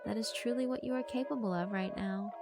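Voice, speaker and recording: A woman's voice: fairly high pitch, fairly quick, natural voice. A woman voicing a sweet, persuasive female figure who praises the bullies' cruelty. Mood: coaxing. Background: background music.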